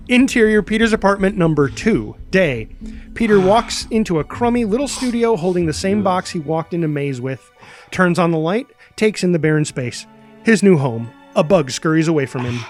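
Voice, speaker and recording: A man reading stage directions as dramatic, energetic narration for a superhero screenplay, punching out the action. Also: sits high. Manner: energetic, punchy sound-effect words.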